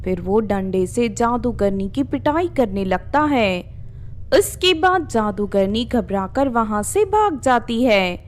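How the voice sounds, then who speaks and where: High, lively, slow-paced woman storyteller. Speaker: narrator. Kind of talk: scripted children's story narration.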